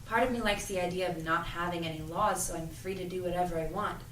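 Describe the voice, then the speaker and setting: A woman's voice: on the low side, steady tone, fast, fairly bright tone, close to an everyday voice. A woman playing a thoughtful, moderate member of a secret movement in an audio drama read-through, mild and conversational. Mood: reassuring, reflective. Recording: echoey, distant mic, slight noise.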